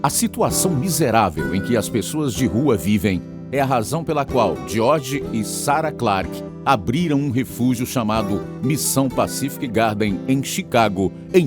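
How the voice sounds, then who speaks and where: Male radio narrator, Brazilian, measured and solemn scripted delivery, mid-range voice, music clearly underneath. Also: medium pitch. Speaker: radio programme announcer and narrator.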